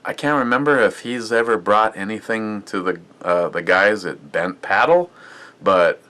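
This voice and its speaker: A man's voice: on the low side, unhurried, sharp tone. A man casually reviewing a beer on his vlog, rambling and chatty with lots of fillers and restarts.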